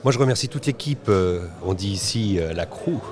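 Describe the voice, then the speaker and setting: A man hosting a roundtable podcast, speaking haltingly with pauses mid-sentence. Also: lowish voice, expressive. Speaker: podcast host. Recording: busy crowd chatter behind. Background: crowd chatter.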